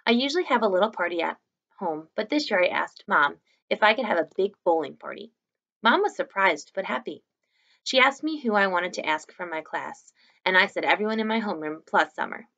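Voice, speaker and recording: A woman's voice: mid-range voice, lively intonation, brisk, a bit bright, lo-fi sound. A young woman reading a children's novel aloud expressively, like a teacher to her students, in a small room at home. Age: young adult.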